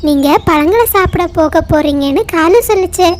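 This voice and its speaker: A woman's voice: very high voice, normal speed, a little hiss or hum. A woman voicing a friendly, eager small forest bird in a children's cartoon story.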